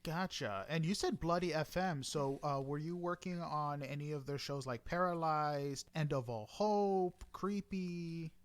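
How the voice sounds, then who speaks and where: A man's voice: fairly high pitch, unhurried, few pauses. A man interviewing a guest in a casual, conversational style. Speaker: interviewer, podcast host.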